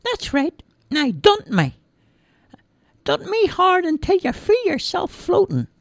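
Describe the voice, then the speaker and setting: A woman's voice: extremely high pitch, huge pitch swings, slow, no room sound, studio quality, voice pushed up, exaggerated voice. A woman voicing a tiny, chirpy magical man who lives inside a boy's heart and giggles as he talks. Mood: cheerful.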